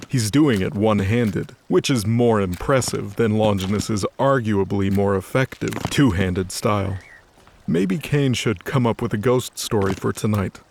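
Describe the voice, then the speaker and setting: A man's voice: lowish voice, a little hiss or hum. A man narrating a scripted story in a conversational, wry way.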